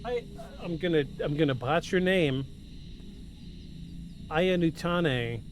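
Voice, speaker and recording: Male podcast host, fairly high voice, effusive, mixes scripted narration and casual asides. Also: takes it slowly. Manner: effusive, repeats himself for emphasis. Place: at home. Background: music.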